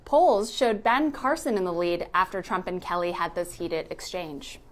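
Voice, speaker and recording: A woman's voice: lowish voice, normal speed, slight background noise. A woman reading a scripted narration in the style of a news recap.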